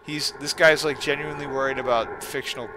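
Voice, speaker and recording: A man's voice: medium pitch, steady tone, brisk, heavy background noise. A man giving casual game commentary and reading a story aloud, with sardonic, exasperated asides. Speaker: let's player reading a creepypasta aloud.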